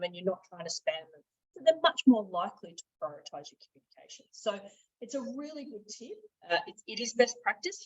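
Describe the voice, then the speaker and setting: An Australian woman presenting a conversational software training demonstration, explaining tips step by step and stressing the key points. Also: mid-range voice, husky. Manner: explanatory, emphasising tips, checking in with "right?". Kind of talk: instructional screen-share presentation, conversational. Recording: dull, boxy, echoey room sound.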